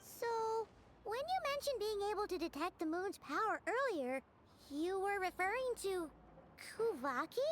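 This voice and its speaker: A woman voicing a small, cheerful, boastful childlike fairy sidekick in a video game, with a squeaky voice and bubbly manner. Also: big pitch swings, a little slow, a bit bright, slight background noise, full character voice. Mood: curious. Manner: bubbly, refers to self in third person. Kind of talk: video game character dialogue. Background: game music.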